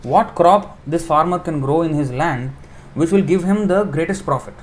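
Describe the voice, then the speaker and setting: A man giving a sermon in an informal style, explaining with everyday examples, pausing with fillers and correcting himself as he thinks aloud. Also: a little high, slight background noise. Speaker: preacher giving a scripture class.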